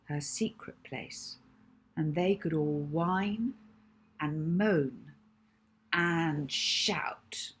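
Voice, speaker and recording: A woman author reading her own children's book aloud in an expressive storytelling style. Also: low-pitched, husky. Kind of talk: expressive children's audiobook narration.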